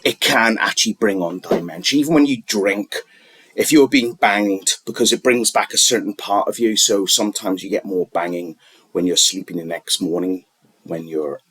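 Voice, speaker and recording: A man talking unscripted, giving wellness advice in a halting, rambling way with many restarts and fillers. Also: on the low side, husky, no echo.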